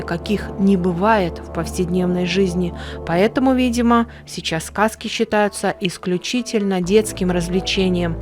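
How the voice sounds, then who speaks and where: A woman reading scripted documentary narration as the narrator of a television programme. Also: medium pitch.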